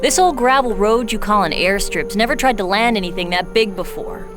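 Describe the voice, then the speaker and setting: A woman's voice: medium pitch, a little fast, close to an everyday voice. A woman playing a wry, skeptical covert contact in a video game cutscene. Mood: wry, doubtful. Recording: music behind.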